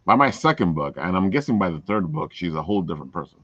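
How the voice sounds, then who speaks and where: Lively, low-voiced man in casual chat. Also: quick pace, slightly rough, muffled recording.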